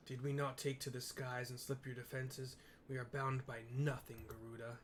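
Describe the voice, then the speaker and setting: A man's voice: medium pitch, little pitch movement, normal speed, slight background noise, lightly acted. A man voicing a resolute heroic adventurer from a fantasy game, earnest and rallying in formal speech. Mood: defiant. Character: resolute heroic adventurer allies defying a tyrant.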